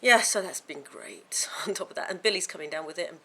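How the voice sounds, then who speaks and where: Croaky woman vlogging at home, rambles a lot, bright voice. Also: fairly low pitch, expressive, fairly quick. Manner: rambling, chatty, many fillers and restarts. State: hoarse, croaky from a sore throat. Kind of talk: casual chat to camera.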